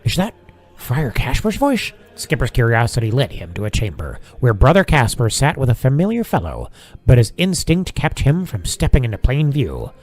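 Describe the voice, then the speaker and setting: A man serves as narrator of an audio drama, reading from a script. His tone is mellow and his pitch moves freely, with a very dry, close sound. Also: big pitch swings, fairly quick, no room sound.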